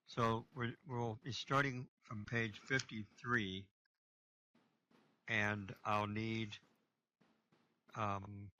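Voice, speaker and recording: A man organizing a script reading, speaking hesitantly and pausing to find his place. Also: lowish voice, level tone, pushed and bright, dull audio. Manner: hesitant, many fillers. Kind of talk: casual chat.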